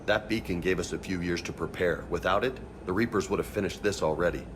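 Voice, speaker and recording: An actor playing a steady, plain-spoken soldier in a video game. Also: male voice, sits low, fast talker, background noise, natural voice. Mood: grimly reassuring.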